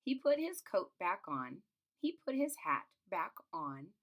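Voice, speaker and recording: A woman's voice: on the low side, sing-song, measured pace. A woman reads a children's picture book aloud expressively, adding simple explanations as a teacher would. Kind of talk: children's storybook read-aloud with asides.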